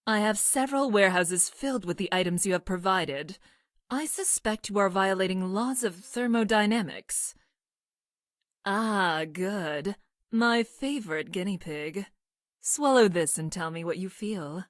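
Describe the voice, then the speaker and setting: Game role, actress: female future scientist, mid-pitched, clinical and emotionless, formal precise diction, close dry booth sound. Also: unhurried, dry recording, lightly acted. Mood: detached, analytical. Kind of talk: scripted video game character lines.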